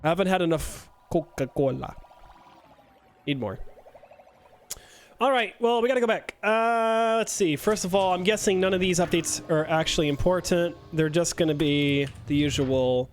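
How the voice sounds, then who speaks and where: High-voiced joking male game streamer. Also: normal speed. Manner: joking, self-mocking, chatting with viewers. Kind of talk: casual live-stream chat while playing.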